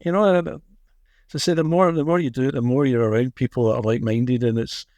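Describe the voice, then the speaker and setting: A man's voice: medium pitch, fairly even intonation, fast, a little mellow, no room sound, clean studio sound. A man hosting a podcast interview in a conversational way. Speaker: podcast host.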